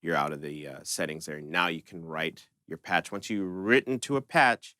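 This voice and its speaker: A man with a fairly low, bright voice walks through a music tutorial in casual, conversational language. His delivery is clean and clear, recorded close and dry, like an informal instructor talking straight to the viewer. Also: moderate pace, no echo. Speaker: host of a synth tutorial channel.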